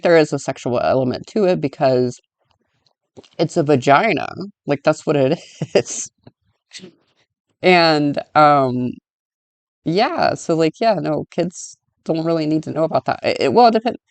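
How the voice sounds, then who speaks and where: A woman's voice: deep voice, no echo. A woman hosting a reaction commentary, talking bluntly and emphatically with frequent swearing. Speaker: commentary host.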